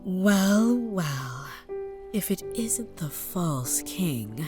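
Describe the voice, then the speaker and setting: A woman's voice: fairly low pitch, big pitch swings, very slow, over music, exaggerated voice. A woman playing a sinister, mocking empress in a fantasy audio drama, taunting and theatrical.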